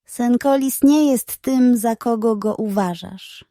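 A woman's voice: fairly high pitch, slow pace, warm tone, no echo, studio quality. A woman voicing a tall, glowing male guardian of dreams in a children's storybook, solemn, watchful and commanding.

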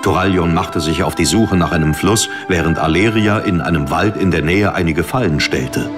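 Low male audiobook narrator, dramatic story reading, clear music underneath. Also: normal speed.

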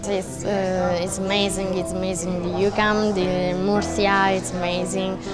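Casting: woman, 20s, Italian accent, halting English, little pitch movement, measured pace, interview style. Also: little pitch movement. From Italy. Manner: halting, searching for English words. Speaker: dancer. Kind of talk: casual documentary interview. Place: dark indoor dance studio. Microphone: lapel mic. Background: instrumental music.

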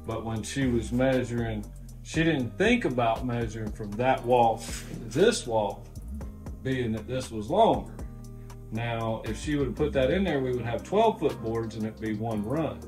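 A man's voice: medium pitch, animated, a little slow, darkish tone. A man in his thirties casually explaining carpentry plans in an unfinished room, folksy and drawling. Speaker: vlog co-host renovating a houseboat. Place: unfinished room of a houseboat, indoors. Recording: music playing behind.

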